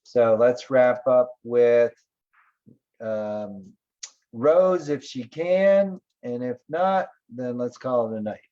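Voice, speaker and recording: A man's voice: mid-range voice, animated, slow pace, warm tone, muffled recording. A man hosting an online business webinar, talking casually with many fillers and loose asides. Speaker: webinar host.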